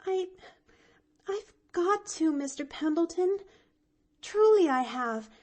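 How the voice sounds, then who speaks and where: A woman's voice: extremely high pitch, expressive, slow pace, a little mellow, voice pushed up, full character voice. A woman doing an eager stammering little girl. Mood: pleading eager. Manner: stammering, breathless and pleading. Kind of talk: audiobook character voice.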